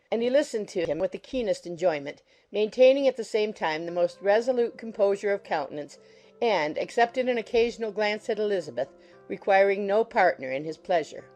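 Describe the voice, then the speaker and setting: A woman's voice: medium pitch, darkish tone. A woman reading scripted narration from a classic novel as an audiobook narrator.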